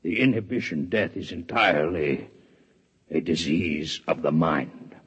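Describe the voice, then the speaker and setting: An actor playing a proud, formal alien male scientist in a 1950s radio drama, with measured, precise diction. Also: a bass voice, fairly bright tone, husky, heavily acted. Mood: grave. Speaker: alien doctor in a radio play. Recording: dull vintage radio recording.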